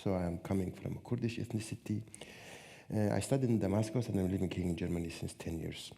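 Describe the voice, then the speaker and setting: A man in his thirties with a Syrian accent, a native Arabic speaker, reflecting haltingly as a guest in a live interview. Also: low-pitched, gravelly, warm tone. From northeast Syria. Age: 30s. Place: live stage event at a theatre.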